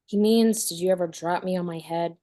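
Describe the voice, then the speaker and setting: A woman's voice: fairly low pitch, fairly even intonation, brisk, warm tone, lightly acted. A woman playing a haggard, haunted, stubborn teenage girl in a horror script table read. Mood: uneasy, defiant.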